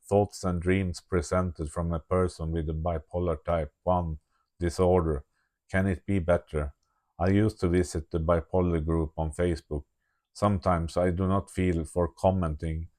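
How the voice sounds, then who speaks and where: Low-voiced Swedish man reading aloud. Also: a little mellow. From Sweden. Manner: slow, careful reading with self-corrections. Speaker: vlogger. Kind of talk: reading a prepared diary monologue. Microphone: phone with external microphone.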